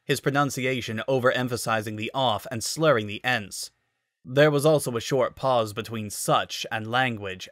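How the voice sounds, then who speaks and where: A man's voice: medium pitch, fairly bright tone, dry recording, studio quality. A man narrating a story as a scripted dramatic reading. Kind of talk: dramatic reading of fan fiction.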